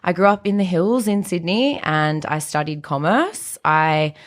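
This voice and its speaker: A woman's voice: lowish voice, expressive, a little slow. A young woman from South Africa, a business co-founder talking in a friendly, easy way as a podcast guest. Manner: friendly, laughs easily. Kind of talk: casual podcast interview.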